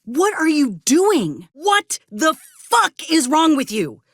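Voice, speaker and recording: Gruff merc lady, ex combat medic in a game, guarded n sardonic, really lively pitch, dry studio sound. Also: slow, no echo, exaggerated voice. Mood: angry, indignant. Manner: folksy, blunt, sardonic. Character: tough, guarded, weary former combat medic turned mercenary troubleshooter. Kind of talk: video game character acting.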